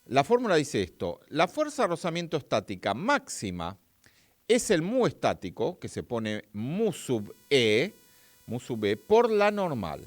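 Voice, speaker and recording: A man's voice: mid-range voice, huge pitch swings, measured pace, dry recording. A man with an Argentine accent giving a chatty, live-streamed physics lesson, talking straight to his viewers. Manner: chatty, talks straight to viewers and reads their chat. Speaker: physics teacher.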